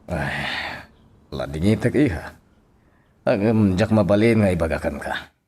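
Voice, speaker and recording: A man's voice: low-pitched, lively intonation, a little slow, darkish tone, slight background noise. An actor playing a troubled, protective middle-aged father in a radio drama, with a Filipino accent. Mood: evasive, apologetic.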